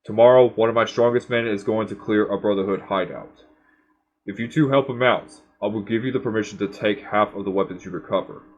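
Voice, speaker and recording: Man acting as game governor, low pitch, guarded, authoritative, cryptic, dull recording. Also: brisk, natural voice. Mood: firm, bargaining. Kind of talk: scripted game cutscene dialogue.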